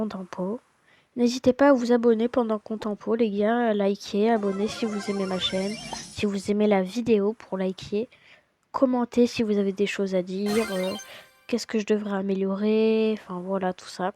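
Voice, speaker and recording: A woman with a slight cold giving a casual, hesitant voice-over of her gameplay, full of fillers and restarts. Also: medium pitch. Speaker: gaming channel host. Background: loud game music.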